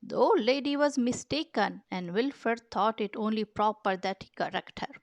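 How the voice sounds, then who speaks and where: A woman reading a children's picture book aloud with measured, expressive storytelling delivery. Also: fairly high pitch, no echo. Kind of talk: storybook read-aloud narration.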